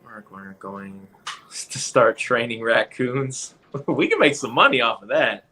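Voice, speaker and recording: A man in his twenties, a podcast guest chatting in a relaxed way, laughing easily and saying "like" often. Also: mid-range voice, slow. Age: 20s. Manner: laid-back, laughs often, says "like" a lot. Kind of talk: casual livestream chat.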